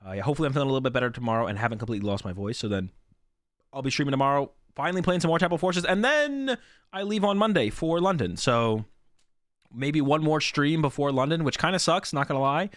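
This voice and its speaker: A man's voice: fairly high pitch, quick pace, no room sound, studio quality. A young man hosting a card game stream, chatting casually to his viewers while sick, his voice worn. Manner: relaxed, rambling, repeats himself.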